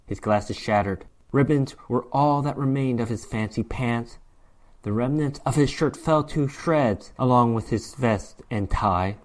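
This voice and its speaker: A man's voice: mid-range voice, unhurried. A man narrating a fantasy horror short story as a dramatic reading, with theatrical, emphatic delivery.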